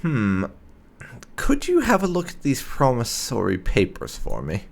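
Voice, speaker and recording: A man's voice: medium pitch, wildly swooping pitch, measured pace, vocal fry, slight background noise. A man doing roleplay-style commentary as he plays a fantasy game, musing aloud with thoughtful hums. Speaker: gamer, let's player. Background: game ambience.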